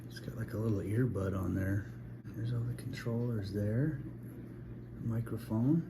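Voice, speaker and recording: A man's voice: lowish voice, measured pace, creaky, heavy background noise. A man giving a casual, plainspoken hands-on product demonstration, explaining things step by step as a reviewer.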